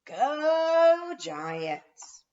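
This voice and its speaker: A woman playing a hollering T-ball coach and cheering mom from a storybook, with shouted, drawn-out calls on slightly lo-fi audio. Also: sits high, sing-song, pitched above the performer's own voice, exaggerated voice. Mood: rousing.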